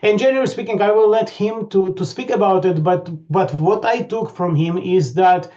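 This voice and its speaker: A man hosting an online webinar over a video call, talking haltingly with many fillers and restarts. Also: high voice, mellow. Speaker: webinar host. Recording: dull lo-fi call audio.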